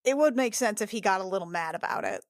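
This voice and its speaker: A woman's voice: medium pitch, animated, fast talker, no room sound, clean studio sound. A woman playing a tabletop roleplaying game, improvising casual in-character chat.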